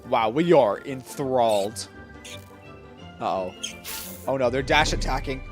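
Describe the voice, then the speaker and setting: A man's voice: medium pitch, a little slow, soft background music. A young man giving casual let's-play commentary, joking and reacting in slangy language. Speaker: gaming streamer.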